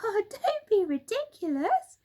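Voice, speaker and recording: A woman voicing an excitable, anxious monkey father from a children's storybook, exclaiming in disbelief. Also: extremely high pitch, unhurried, voice pushed up, full character voice. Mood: incredulous. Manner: exclaiming, incredulous.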